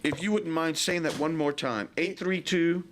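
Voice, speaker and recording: A man's voice: fairly high pitch, moderate pace, a bit bright, slight background noise. A man in his fifties hosting a radio talk show, effusive and enthusiastic with his guests and callers. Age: 50s.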